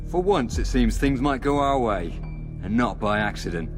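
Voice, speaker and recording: Man acting learned conspirator, game cutscene, medium pitch, dry delivery, music behind. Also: lively intonation, moderate pace, close to an everyday voice. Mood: wry, conversational.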